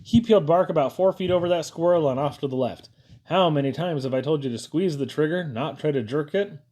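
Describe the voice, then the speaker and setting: A man voicing a gruff but caring father, a seasoned hunter with a folksy, instructive way of talking. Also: fairly high pitch, brisk, darkish tone, pitched above the performer's own voice, close to an everyday voice. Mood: disgusted.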